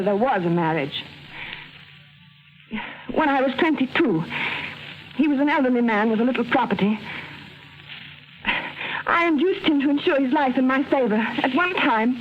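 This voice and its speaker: An actress playing a frightened wife stalling for time, in halting, theatrical old radio drama style. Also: female voice, a little high, lively intonation, close to an everyday voice. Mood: halting, confessional. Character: frightened wife desperately stalling, feigning a murderous confession. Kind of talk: 1940s radio drama acting. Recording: dull, noisy vintage radio recording.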